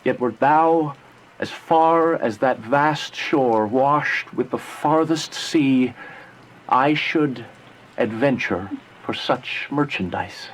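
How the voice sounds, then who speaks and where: An actor playing a lovestruck young man in Shakespearean verse, speaking with tender, rapturous and measured delivery. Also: mid-range voice, a little hiss or hum, close to an everyday voice, poor audio. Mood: bold ardor. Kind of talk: slow dramatic verse acting.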